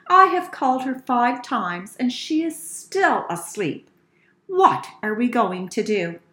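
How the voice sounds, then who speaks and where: A woman voicing a gentle, flustered mother from a children's storybook, sweet and expressive. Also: fairly high pitch, slow, exaggerated voice. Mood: puzzled. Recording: roomy, echoey indoor sound.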